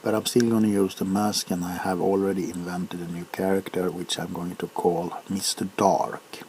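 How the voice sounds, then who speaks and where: A man rambling casually for an ASMR video, with a halting, unhurried, hesitant way of talking. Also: sits low, little pitch movement, a little mellow, slight background noise.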